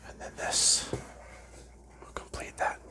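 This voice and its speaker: A man hosting an ASMR video in a soft unvoiced whisper, calmly narrating each step as he packs up a board game, with relaxed fillers. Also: slow pace. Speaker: ASMR channel host.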